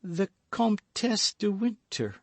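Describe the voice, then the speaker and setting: A man's voice: high-pitched, very animated, slow pace, dry recording, voice pushed up, heavily acted. A man reading aloud voices a dying young woman who speaks weakly and breathlessly. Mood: faint, pleading. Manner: weak, breathless pleading.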